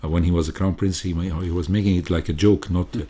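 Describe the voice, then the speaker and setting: A man with an Egyptian accent conducts a formal interview in a very low, husky voice. He speaks in a measured, hesitant way, full of fillers and restarts. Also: steady tone, dark tone. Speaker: interviewer, professor.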